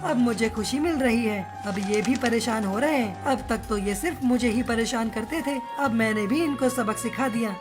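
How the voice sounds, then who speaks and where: Woman voicing a high-pitched chatty little cartoon crow. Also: normal speed, hardly any pauses, over music, lightly acted. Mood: smug. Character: short joke-telling crow, male, hurt and vengeful. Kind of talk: Hindi cartoon story dialogue.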